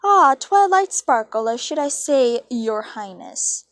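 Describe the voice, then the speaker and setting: A woman's voice: high-pitched, very animated, slow pace, no echo, heavily acted. A young woman voicing a mocking, theatrical male chaos creature from a cartoon fan story. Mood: smug, taunting. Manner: mocking, mock-innocent, theatrical. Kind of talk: amateur read-aloud of cartoon fan fiction.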